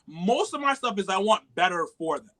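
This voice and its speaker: A loud, animated man from New York with a New York accent, his voice high and bright. He talks casually and profanely as a podcast guest, laughing at his own takes. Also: a little fast. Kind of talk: casual chat.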